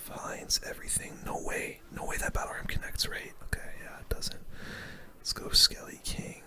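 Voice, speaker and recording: Bright whispering ASMR gaming host. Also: moderate pace. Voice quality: breathy unvoiced whisper. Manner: casual, slangy, muttering running reactions.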